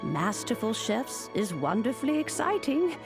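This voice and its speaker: A woman playing a calm, wise elderly granny and master chef in a video game, speaking in a measured, philosophical way. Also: on the high side, over music. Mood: solemn, wise. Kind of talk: video game cutscene acting.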